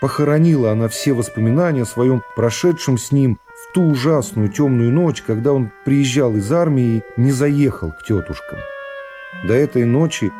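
A middle-aged man reading classic prose as an expressive dramatic narration for a radio serial. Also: medium pitch. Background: music.

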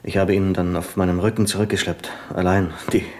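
An actor playing a humble, rattled secretary and war veteran who speaks haltingly, in a 1970s radio play. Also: male voice, low voice, little pitch movement, a little mellow. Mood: earnest. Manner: hesitant, halting, earnest.

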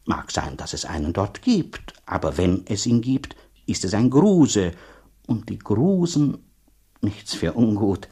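A blunt, condescending country dean in a radio drama. The voice is low and husky and swings widely in pitch. He talks on emphatically, haltingly, repeating himself with open scepticism. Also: very animated, mellow, exaggerated voice. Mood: doubtful, insistent. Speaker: actor in a radio play. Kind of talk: German radio drama acting.

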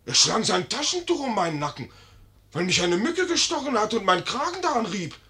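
An actor playing a young, hot-headed and naive army lieutenant, indignant and declamatory, in a 1950s German radio play. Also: male voice, high-pitched, very animated, fairly quick, sharp tone, exaggerated voice. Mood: wounded pride.

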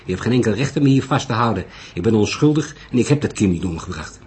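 A man's voice: fairly low pitch, expressive, fast talker, a little mellow, husky. Man acting a defiant, stubborn rich suspect. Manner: indignant, stubborn, emphatic. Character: defiant, wealthy round-faced male murder suspect.

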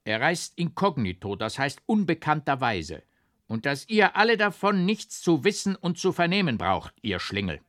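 A man's voice: mid-range voice, measured pace, gravelly, no room sound, studio quality. A man doing a sly pompous village judge. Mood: proclaiming. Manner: mock-formal, lively, declaiming.

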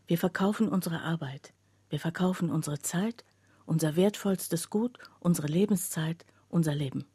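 An actress playing an earnest working woman who narrates a literary radio play in a husky voice. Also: fairly low pitch, normal speed, mellow, dry recording. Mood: somber, reflective. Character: earnest working woman recounting her factory's closure.

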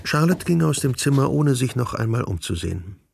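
Creaky-voiced male radio drama narrator. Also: medium pitch, sing-song, darkish tone, slight background noise. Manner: measured, storytelling.

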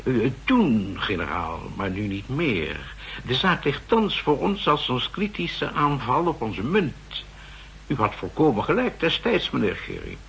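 Fussy, sharp-voiced banking expert, animated. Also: male voice, medium pitch, measured pace, vocal fry, heavily acted. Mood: earnest, explaining. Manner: pedantic, emphatic, hesitating and repeating himself. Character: fussy, insistent male central-bank counterfeiting expert. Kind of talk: 1960s radio drama acting. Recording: noisy vintage 1960s radio recording.

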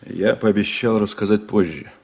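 A man acting a seasoned, shrewd, loyal sailor in a radio drama, speaking deliberately and confidingly. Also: fairly low pitch, creaky, darkish tone, slightly rough. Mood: confiding, earnest. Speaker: actor in a radio play.

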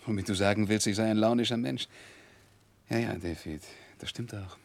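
An actor playing a moody, proud, impatient young gentleman in a 1960s German radio drama, with a husky, gravelly voice. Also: fairly low pitch, normal speed, no echo. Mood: restless, moody.

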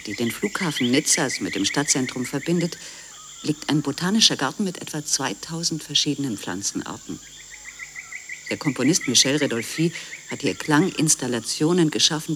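A man's voice: a little high, background noise. A man narrating as a sober reporter in a radio play, describing scenes in a matter-of-fact way. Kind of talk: scripted documentary-style radio narration.

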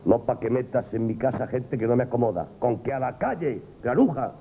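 An actor playing a stern, proud shopkeeper, blunt and scolding, in a Spanish radio play. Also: male voice, medium pitch, animated, fairly quick, warm tone, close to an everyday voice. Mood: angry, threatening. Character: stern, wounded, proud shopkeeper, father of a daughter. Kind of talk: Spanish radio theatre acting, 1970s. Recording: muffled, noisy old radio broadcast.

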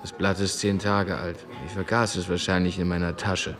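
A man's voice: sits low, little pitch movement, normal speed, over music, natural voice. A man playing a sullen, gloomy anarchist comrade in a radio play, answering tersely and morosely. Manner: terse, morose, grunting replies.

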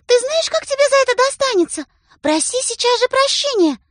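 A woman's voice: extremely high pitch, expressive, normal speed, no echo, clean studio sound, pitched above the performer's own voice. A woman voicing a bossy little girl who coaxes and lectures her younger brother. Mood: shrill. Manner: wheedling, then stern and preachy. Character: bossy little girl, coaxing older sister.

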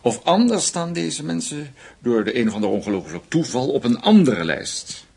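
An actor playing a shrewd German intelligence official in a radio drama, speaking formally and deliberately. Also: male voice, medium pitch, big pitch swings, vocal fry, a little mellow, husky, heavily acted. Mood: knowing, grave.

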